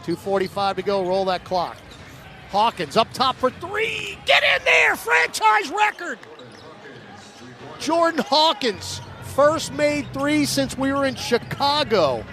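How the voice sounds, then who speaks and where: An American man calling live basketball on the radio in punchy, clipped phrases that can burst into shouts. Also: very high-pitched, very animated, slow pace, gravelly, soft background music. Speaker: radio play-by-play announcer. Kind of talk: excited live basketball commentary. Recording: noisy live arena broadcast. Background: arena noise and basketball bounces.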